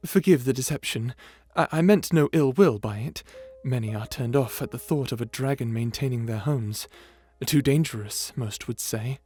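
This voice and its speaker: A man's voice: mid-range voice, moderate pace, hardly any pauses, dark tone, close to an everyday voice. A man voicing a shy, polite dragon butler in an ASMR roleplay, hesitant and deferential, with formal speech. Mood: bashful, apologetic. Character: shy polite old dragon butler, male, eager servant.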